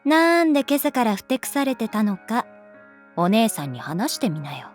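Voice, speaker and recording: A woman playing a cool, caring teacher in a Japanese voice drama, talking in a laid-back, gently teasing way. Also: fairly high pitch, big pitch swings, moderate pace, soft background music. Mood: playful, teasing. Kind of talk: Japanese voice drama acting, conversational.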